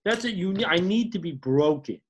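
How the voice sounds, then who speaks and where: Excitable, animated podcast co-host man. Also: high-pitched, fairly quick, a little hiss or hum. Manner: excitable, restarts sentences, playful. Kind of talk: casual chat.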